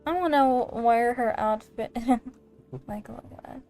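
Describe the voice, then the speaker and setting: Female game streamer: fairly high voice, unhurried, giggly casual chat over light music. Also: darkish tone. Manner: giggly, rambling.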